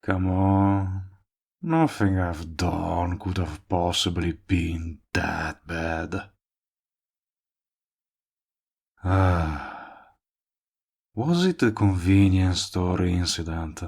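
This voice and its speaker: A man's voice: deep voice, lively intonation, extremely slow, gravelly, darkish tone, husky, full character voice. A man playing a cocky, lovestruck villain in an audio roleplay, teasing and coaxing the listener he is wooing. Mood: defensive, coaxing. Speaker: voice actor. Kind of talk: intimate audio roleplay acting addressed to the listener.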